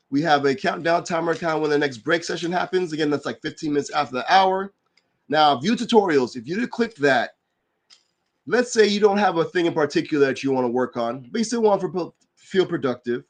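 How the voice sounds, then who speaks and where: A man who is getting over a cold and sounds a little nasal, hosting a live online work session and explaining its features in a relaxed, rambling way full of fillers. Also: on the high side, brisk. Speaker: livestream host and developer advocate. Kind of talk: casual explanatory livestream talk.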